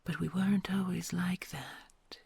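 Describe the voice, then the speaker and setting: A woman voicing a kind, gentle female red dragon queen in an ASMR audio roleplay, talking in a soft, soothing, mostly whispered way. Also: fairly low pitch, a little slow, gravelly, slightly rough, no echo, exaggerated voice. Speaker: voice actor.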